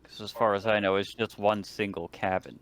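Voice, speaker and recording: Lowish male voice in casual game chat. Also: fairly even intonation, a little fast, a bit bright. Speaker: tabletop game player.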